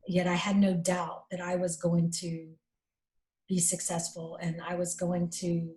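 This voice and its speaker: A middle-aged woman, an executive telling stories in an interview, animated and full of fillers. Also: on the low side, low-quality recording. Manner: animated, acts out quoted dialogue, many fillers; self-described strong voice, not shy. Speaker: interview guest, company executive. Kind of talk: casual conversational storytelling.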